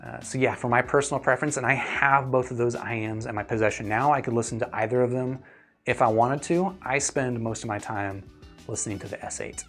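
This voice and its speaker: A man's voice: mid-range voice, fairly quick, a bit bright, faint music behind. A man giving gear opinions as a reviewer and host, chatting casually and thinking aloud as he answers viewers. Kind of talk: casual livestream chat answering viewer questions.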